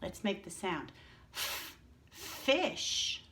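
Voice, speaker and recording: A woman's voice: fairly low pitch, big pitch swings, bright, forceful tone, a little hiss or hum. A woman teacher giving an early-reading lesson to young children, encouraging them and asking them to repeat words after her. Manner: encouraging, slow and drawn out, calls for repetition.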